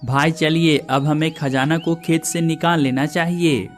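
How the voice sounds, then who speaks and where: A man's voice: a little high, steady tone, unhurried, soft background music, lightly acted. A man playing a lazy, scheming young village son in a cartoon story, with plaintive scripted delivery. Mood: eager.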